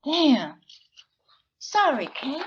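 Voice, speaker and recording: A woman performing a self-conscious, wry sixteen-year-old girl narrating her life in a slangy, expressive dramatic reading of a novel. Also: high-pitched, very slow, lo-fi sound, a little hiss or hum, heavily acted. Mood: concerned. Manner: slangy, expressive, conversational storytelling.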